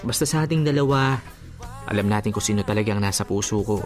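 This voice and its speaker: A man's voice: fairly low pitch, quick pace, lightly acted. A man with a Filipino accent playing a placating, affectionate young boyfriend who avoids conflict, in a radio drama with a coaxing manner. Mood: apologetic, tender. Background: background music.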